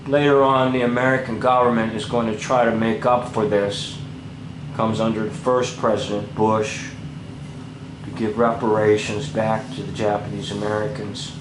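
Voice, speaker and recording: A man's voice: fairly low pitch, flat delivery, moderate pace, reverberant, noisy background. A man commenting over a documentary in an indignant, lecturing way.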